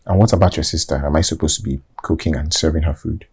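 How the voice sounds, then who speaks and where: An actor playing a reluctant husband who grumbles drily about favors. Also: male voice, extremely low pitch, fairly even intonation, fast, a little mellow, husky, heavily acted. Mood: grudging.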